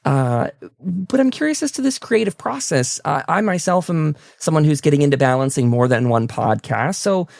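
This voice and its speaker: A man's voice: a little high, animated, normal speed, creaky, darkish tone, no room sound, clean studio sound. A man hosting a conversational interview, asking questions in a polite, roundabout way. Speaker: talk show host.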